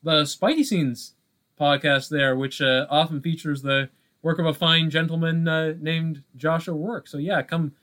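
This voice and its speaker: A man co-hosting a podcast in casual chat, halting and full of filler phrases. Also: fairly high pitch, fairly even intonation, fairly bright tone. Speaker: podcast co-host.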